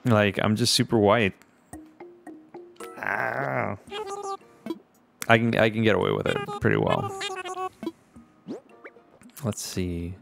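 A man's voice: fairly low pitch, huge pitch swings, slow, vocal fry, pauses often, music in the background. A man from Puerto Rico hosting a let's play, chatting casually with viewers and laughing often as he plays, recorded on a desk mic in his home room. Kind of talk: casual gaming commentary. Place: home gaming room, indoors. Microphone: desk microphone with webcam.

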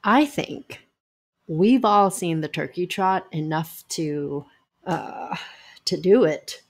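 A woman's voice: on the low side, lively intonation, takes it slowly, heavily acted. An actress in an audio play playing a kindly, hesitant woman in a quirky family, acted naturalistically. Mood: sympathetic, hesitant. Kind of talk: naturalistic comedy acting.

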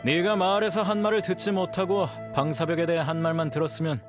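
An actor playing a contemptuous, zealous desert follower in a video game, righteous and scornful. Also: male voice, on the high side, little pitch movement, quick pace, lightly acted. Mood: reproachful. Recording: music clearly behind the voice.

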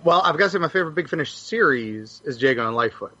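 A man on a podcast panel telling a story casually in a halting, stop-start way. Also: a little high, expressive, bright, forceful tone, dry recording, dull audio. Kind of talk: casual fan discussion.

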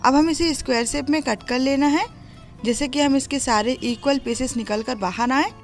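A woman's voice: high-pitched, moderate pace. A woman talks through a recipe as a home cooking host. She explains each step in an instructive way. Faint music plays behind her narration.

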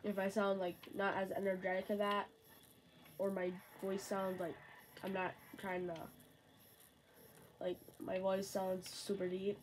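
A woman's voice: mid-range voice, completely flat delivery, a little hiss or hum, rough recording. A woman with a sore throat, casually commenting on her game from a bedroom and rambling to herself. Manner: slow, rambling, talks to self. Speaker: gamer.

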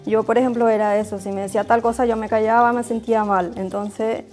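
A woman in her forties from Paraguay, speaking quietly and candidly. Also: on the high side, fairly even intonation, a little fast, soft background music. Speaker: interviewee. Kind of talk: testimony.

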